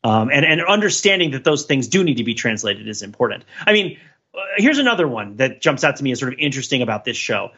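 A man with a bright, forceful voice talks through ideas as a podcast co-host. He is casual but analytical, wandering into asides and hedges as he goes. The audio is slightly dull and band-limited. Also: medium pitch, very animated, fast, slightly rough. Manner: discursive, thinking aloud, hedging with many asides. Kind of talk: casual panel discussion, analytical.

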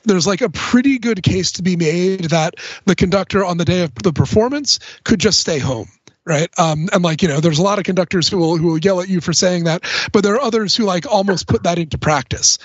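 Man, high-pitched voice, podcast panelist, casual analysis, discursive explaining, very dry slightly muffled recording. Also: a little fast, dry recording. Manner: discursive, explanatory, peppered with conversational asides.

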